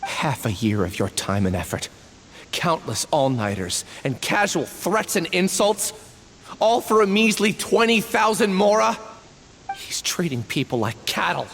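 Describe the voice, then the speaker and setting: Indignant young student, high voice, lively pitch, long reverb. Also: male voice, measured pace, gravelly, exaggerated voice. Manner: indignant, pleading. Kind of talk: video game dialogue. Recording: long reverb, faint hiss.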